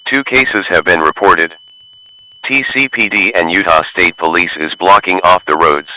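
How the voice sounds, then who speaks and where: A man voicing an emergency alert announcer with a very sharp, forceful edge on a low voice. The warnings are read formally, like an official bulletin. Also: moderate pace, poor audio.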